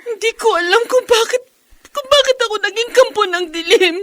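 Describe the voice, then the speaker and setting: Tearful, high-pitched young aswang woman. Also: normal speed, fairly bright tone. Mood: tearful, desperate. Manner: tearful, pleading, melodramatic. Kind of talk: Philippine horror radio drama acting.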